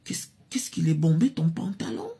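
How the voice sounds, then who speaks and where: A woman's voice: low-pitched, animated, normal speed, warm tone, slightly rough, heavily acted. A woman doing a cheeky, flirty mother. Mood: teasing.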